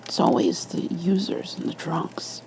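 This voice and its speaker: A woman voicing an excited, secretive police officer who murmurs haltingly in a horror story reading. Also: on the low side, creaky, whispered. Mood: excited, uneasy.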